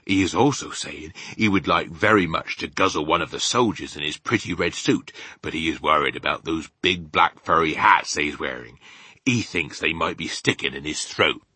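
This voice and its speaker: A man voicing a big, gentle but stubborn storybook giant who talks in muddled grammar and invented words. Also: rumbling low, normal speed, husky, no echo, exaggerated voice. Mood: matter-of-fact. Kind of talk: children's storybook character reading.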